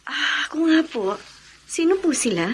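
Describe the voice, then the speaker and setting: A woman's voice: high-pitched, big pitch swings, moderate pace. A woman playing a young adopted daughter in a Filipino radio drama, speaking in a heartfelt, emotional way. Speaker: Odessa, the daughter.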